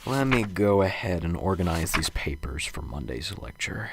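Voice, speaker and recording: A man's voice: low-pitched, expressive, normal speed, background noise. A man playing a nervous, unsure college professor who mutters to himself in a radio drama. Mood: weary self-doubt. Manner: subdued, hesitant muttering.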